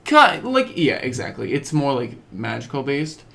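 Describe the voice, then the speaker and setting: A young man co-hosting a podcast, chatting casually and excitably in halting, stop-and-start thoughts. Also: mid-range voice, creaky. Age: young adult. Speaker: podcast co-host. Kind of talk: casual chat about films and games.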